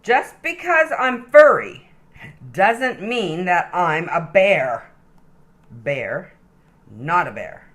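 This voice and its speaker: A woman's voice: lowish voice, sing-song, drawn-out words, a bit bright, exaggerated voice. A woman reading aloud as a friendly male koala in a children's picture book, telling his story in chatty, mildly indignant rhyme.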